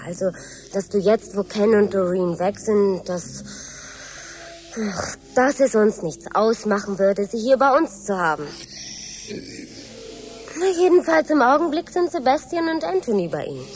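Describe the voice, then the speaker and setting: Radio drama daughter played by a woman: young adult, headstrong, petulant, very varied intonation, unhurried delivery. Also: mid-range voice, lively intonation, darkish tone, slight background noise, close to an everyday voice. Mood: hesitant, pleading. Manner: hesitant, petulant, pleading. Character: headstrong, impatient grown-up daughter of fussy parents. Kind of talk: German radio drama acting.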